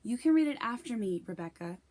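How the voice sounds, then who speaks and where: A woman's voice: on the high side, animated, a little fast, darkish tone. A woman reading a children's book aloud as a guarded, bookish schoolgirl. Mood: firm.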